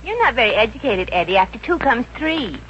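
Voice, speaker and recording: A woman's voice: fairly high pitch, very animated, brisk, fairly bright tone. A woman playing a brash, teasing young woman with a sassy, mock-innocent manner in an old radio comedy. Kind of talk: 1950s American radio comedy acting. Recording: dull, hissy 1950s radio recording.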